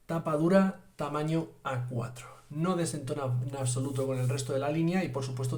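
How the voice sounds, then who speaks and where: A man with a Spain Spanish accent hosting a tabletop game review, speaking in a measured, formal way with "eh" pauses. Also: fairly high pitch, a little mellow. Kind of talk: product review talk to camera.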